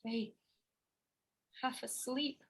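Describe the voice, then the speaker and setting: Woman playing innocent wronged wife in shakespeare audio play, high voice, slow, earnest n sad. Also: steady tone, gravelly, slightly rough, full character voice. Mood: numb, sad. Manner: earnest, formal, sorrowful. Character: loyal, innocent young wife, wounded and pleading. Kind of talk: Shakespearean radio play acting.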